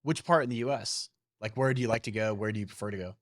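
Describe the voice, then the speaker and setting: A man's voice: on the low side, fast talker, no room sound, studio quality. A man hosting a podcast in an informal, curious way, chatting and asking follow-up questions. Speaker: podcast host. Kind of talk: casual chat, interviewing.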